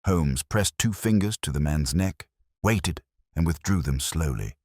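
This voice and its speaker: Very deep male storyteller, smooth and dramatic. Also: lively intonation, darkish tone, no echo, clean studio sound. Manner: dramatic, measured, literary delivery. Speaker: narrator, storyteller. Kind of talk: scripted audiobook narration of a detective mystery.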